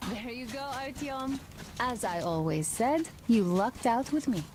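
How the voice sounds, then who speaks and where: An actress playing a warm, confident young woman sniper, affectionate and teasing, in a video game. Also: on the high side, lively intonation, unhurried, noisy background.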